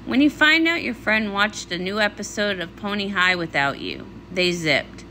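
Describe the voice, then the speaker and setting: A woman's voice: medium pitch, big pitch swings, moderate pace, pushed and bright, slight background noise, pitched below the performer's own voice, low-quality recording. Woman acting a dramatic, defensive young pony pop star. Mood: sheepish. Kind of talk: comic read-along voice acting.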